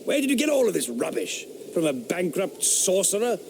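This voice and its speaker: Radio play: a scornful 1760s philosopher man, lecturing and whispering, gravelly, wide pitch swings. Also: very animated, moderate pace, darkish tone, a little hiss or hum, exaggerated voice. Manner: sneering, lecturing, drops to intense whispers. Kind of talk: radio drama acting.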